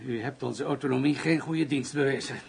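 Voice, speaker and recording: An actor playing a stubborn, defensive police commissioner in a radio drama, formal and curt, with a husky voice. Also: male voice, medium pitch, steady tone, fairly quick, full character voice. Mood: stern, reproachful. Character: guarded, stubborn male police commissioner heading secret service. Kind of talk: Dutch radio drama acting.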